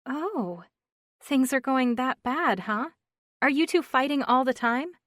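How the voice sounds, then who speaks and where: A woman voicing an excitable young woman friend chatting on the phone, gushing and teasing, in a dry, close reading. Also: sits high, slow pace, no room sound, voice pushed up, exaggerated voice. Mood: excited.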